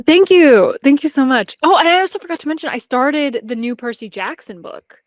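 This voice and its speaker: A woman's voice: high voice, expressive, brisk, dry recording. Woman chatting casually, close dry sound. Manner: informal, conversational, peppered with "like". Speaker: podcast co-host. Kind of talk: casual chat about books and TV.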